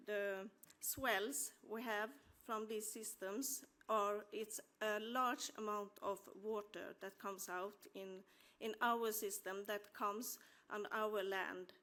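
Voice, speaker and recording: A woman's voice: medium pitch, fairly even intonation, takes it slowly, a bit bright. A woman dairy farmer from the west coast of Sweden, speaking English with a Swedish accent and hesitating often as she searches for words while asking a panel a question.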